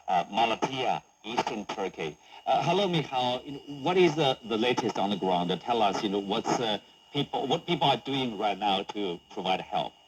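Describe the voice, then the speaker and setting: A man hosting a broadcast news interview, heard over a shortwave radio. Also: fairly low pitch. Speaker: news talk show host. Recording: noisy humming shortwave radio reception.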